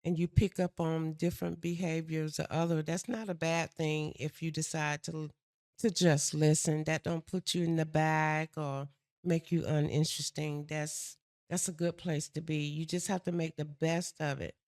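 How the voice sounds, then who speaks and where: Soft-spoken older woman, low voice. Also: level tone, normal speed, no echo, clean studio sound. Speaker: mother and co-host. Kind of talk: casual chat.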